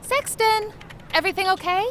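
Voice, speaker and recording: A woman's voice: very high voice, moderate pace, a bit bright, a little hiss or hum. A woman playing a flighty, breezy hippie mother in a comic dub, with an airy, singsong delivery. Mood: breezy, caring. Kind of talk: scripted comic-dub acting.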